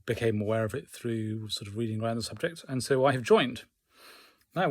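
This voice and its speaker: A man recounting his day in a casual personal vlog, speaking in a reflective, measured and somewhat hesitant way. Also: on the low side, husky, dry recording. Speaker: hobbyist learner keeping a progress diary.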